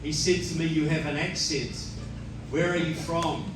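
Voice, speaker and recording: An older New Zealand man addresses a rally crowd, joking and asking the room questions. His voice sits a bit high and carries through a very echoey hall. He is picked up from a distance, with noise behind him. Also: little pitch movement, normal speed. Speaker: guest speaker. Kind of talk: rally speech. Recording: echoey hall, miked from far off, noisy.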